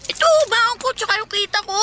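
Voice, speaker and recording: Little filipino boy, super high bright voice, timid n pleading, radio drama. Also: fairly even intonation, fast, slight background noise, heavily acted. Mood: defensive, nervous. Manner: hesitant, pleading, polite. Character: timid, earnest poor schoolboy, apologetic and eager. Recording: music bed behind the voice.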